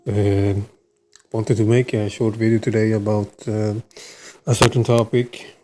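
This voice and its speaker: A man's voice: lowish voice, flat delivery, noisy background. A man from Sweden with a Swedish accent, hosting his own channel and talking unscripted, hesitating often and restarting his sentences. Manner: halting, many filler sounds and restarts. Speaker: channel host. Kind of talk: casual monologue to camera.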